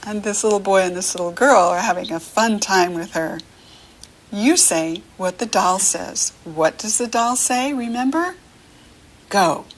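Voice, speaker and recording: An American woman teaching young children through a picture book, speaking to the child directly in simple, encouraging phrases with pauses. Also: medium pitch, animated.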